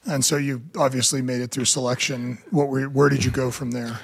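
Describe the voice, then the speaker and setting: A man's voice: mid-range voice, little pitch movement, a little fast, gravelly, slightly rough. A man hosting a podcast, interviewing his guest in a conversational way.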